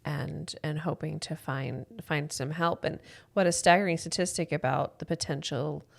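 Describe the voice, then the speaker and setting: A woman's voice: low-pitched, fairly even intonation, normal speed, vocal fry. An American woman hosting a casual interview, supportive and often affirming what she hears. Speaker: podcast host.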